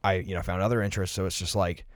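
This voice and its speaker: Deep-voiced young man chatting on a podcast. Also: little pitch movement, rapid-fire, dry recording, clean studio sound. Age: early 20s. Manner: reflective, explanatory, filler-heavy with "like" and "you know". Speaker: podcast co-host discussing favorite films. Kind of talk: casual podcast conversation.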